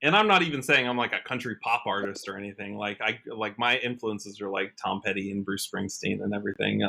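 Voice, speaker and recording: Bright-voiced American man, halting and earnest. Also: lowish voice, vocal fry, a little hiss or hum. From Washington state, USA. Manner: halting, earnest, trails off and rephrases often. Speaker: podcast guest, country singer. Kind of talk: casual interview chat.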